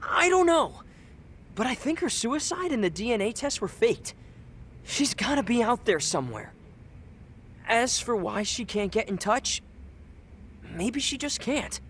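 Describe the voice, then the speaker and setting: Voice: mid-range voice, very animated, a little slow, long pauses, fairly bright tone, a little hiss or hum. An actor playing a cheeky but earnest teenage boy in a video game dub, talking in offhand, youthful slang. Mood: earnest, worried.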